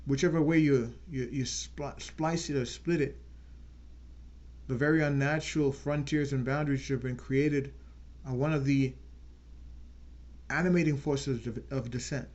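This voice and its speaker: A man hosting his own channel, giving an earnest unscripted political talk and slowing down to stress key words. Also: mid-range voice, fairly even intonation, a little mellow, lo-fi sound. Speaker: channel host.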